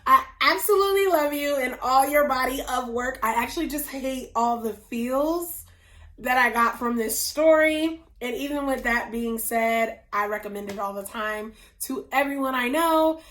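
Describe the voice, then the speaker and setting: Woman, hoarse, losing her voice, slightly high pitch, gushing book reviewer, emphatic. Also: fairly bright tone. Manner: gushing, emphatic, drawn-out stresses. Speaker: book reviewer, channel host. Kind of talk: casual book review vlog. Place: indoors at home, whiteboard nearby.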